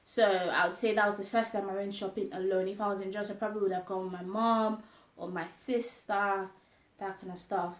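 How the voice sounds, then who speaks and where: A woman's voice: medium pitch, fairly even intonation, fairly quick. Chatty Nigerian woman in an echoey room. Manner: chatty, laughs often, frequent ums. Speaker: vlogger. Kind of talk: casual chat answering tag questions.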